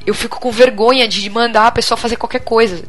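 A Brazilian woman co-hosting a podcast, chatting casually in a blunt, expressive and colloquial way. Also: fairly high pitch, fast talker, music in the background. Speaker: podcast co-host. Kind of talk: casual group chat.

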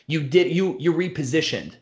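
A man's voice: on the high side, expressive, darkish tone. A man who owns a gym, talking bluntly and profanely in a casual podcast conversation. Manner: blunt, profane, fast-flowing rants. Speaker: gym owner.

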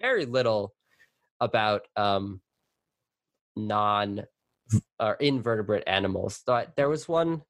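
A man's voice: lowish voice, lively intonation, slow, pauses often, a bit bright, dry recording. A young man, born in Colombia and raised in New York City, talking casually as an interview guest with lots of fillers and restarts. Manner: chatty, with many fillers and restarts. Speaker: guest, arachnologist.

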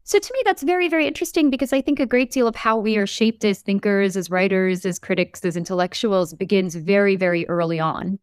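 A woman professor in her forties, raised in the United States, speaking in an articulate, measured way with emphasis in an interview. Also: mid-range voice, clear, resonant tone, dry recording, studio quality. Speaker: English professor. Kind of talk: reflective interview talk.

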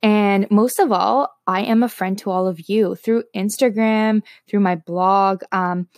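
A young Canadian woman, a native Slovak speaker, talks informally to her online followers. Her voice sits at an average pitch. She phrases things hesitantly and chattily, recorded dry with very little room sound. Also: fairly even intonation, unhurried, a little mellow, no echo. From Toronto, Canada. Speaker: health and wellness blogger. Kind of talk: casual chat to followers.

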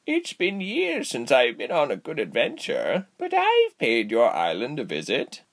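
A man voicing a cheerful, giggly elderly village healer woman in a storybook, with a slow, kindly teasing delivery. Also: mid-range voice, wildly swooping pitch, creaky, a bit bright, pitched above the performer's own voice, full character voice. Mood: fond, breezy. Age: older. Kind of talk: storybook character voice.

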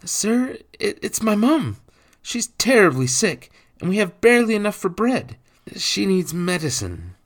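A man's voice: high voice, big pitch swings, a little slow, pitched above the performer's own voice, full character voice. A man voicing a desperate, indignant young street boy in an audiobook, pleading and insistent. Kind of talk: audiobook character voice.

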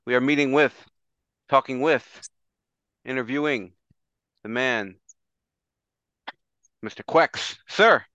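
A man hosting a podcast interview, asking questions in a relaxed way and sometimes fumbling for words. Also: medium pitch, slow, long pauses, smooth, no echo. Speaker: podcast host. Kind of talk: casual interview. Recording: dull remote audio.

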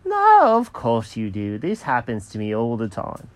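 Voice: medium pitch, huge pitch swings, unhurried, dry recording. A storyteller voicing a dramatic, indignant and boastful male cat in a children's story. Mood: confident, insistent. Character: excitable, self-important talking cat, male.

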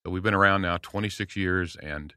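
Older man, low bright dry voice. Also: fairly even intonation, a little fast, dry recording. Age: 60s. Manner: earnest, storytelling, warm encouragement with acted quotes. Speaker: guest speaker. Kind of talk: casual podcast conversation.

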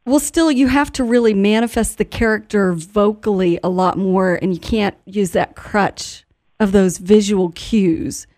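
A woman's voice: medium pitch, unhurried, darkish tone, no echo. A woman radio host with a mid-range voice, talking conversationally in a dry studio sound. She is effusive and complimentary as she interviews.

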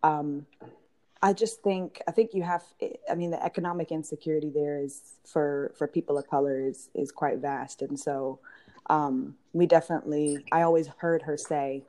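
A woman in her thirties who grew up in Georgia, telling her family story as an interview guest, pausing with ums and restarting her sentences. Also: low voice, steady tone, mellow. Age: 30s. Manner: thoughtful, many ums and restarts. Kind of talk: casual interview, personal story. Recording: noisy recording.

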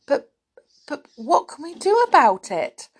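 A dutiful young girl from a children's storybook, earnest and caring for the others, as a woman reading aloud plays her. Her voice rises high and swings widely. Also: expressive, moderate pace, darkish tone. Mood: alarmed. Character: anxious, responsible young girl.

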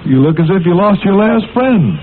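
A man's voice: sits high, animated, moderate pace, phone-quality sound, slight background noise. A man announcing and narrating in emphatic, punchy 1940s radio style for young listeners, heard on an old broadcast recording. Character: peppy announcer of a boys' radio adventure serial.

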